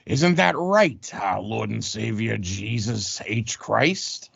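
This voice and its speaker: A man's voice: lowish voice, animated, slow, dry recording, exaggerated voice. A man playing a slick, scheming wrestling promoter in an amateur comedy audio play, defending himself emphatically. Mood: slick, insistent. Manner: emphatic, smooth-talking self-defense. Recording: dry, dull lo-fi home recording.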